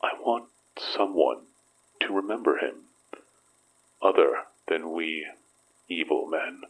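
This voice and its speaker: A man playing a grieving, remorseful father in an audio drama, speaking haltingly in a husky half-whisper. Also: sits low, exaggerated voice, poor audio. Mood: solemn pleading. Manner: slow, halting, solemn. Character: grieving, remorseful father who counts himself evil.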